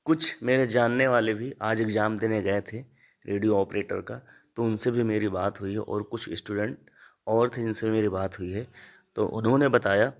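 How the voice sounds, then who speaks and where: A man informally briefing students as a teacher, repeating points as he explains. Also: medium pitch. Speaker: exam-prep teacher and channel host. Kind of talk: informal exam analysis addressed to students.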